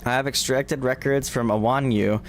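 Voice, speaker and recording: A grandiose, scornful dragon, read out by a male streamer, speaks in lofty, formal phrases at a middle pitch. Faint noise sits behind this fantasy game dialogue. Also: fairly even intonation, normal speed, lightly acted. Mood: haughty, scornful. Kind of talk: reading game dialogue aloud in character.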